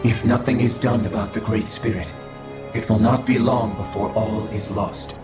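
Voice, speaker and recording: A man voicing a coldly logical, formal alien scholar in a video game cutscene, speaking in a measured, clinical way. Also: lowish voice, fairly even intonation, close to an everyday voice. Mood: calm, formal. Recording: echoing voice over game music.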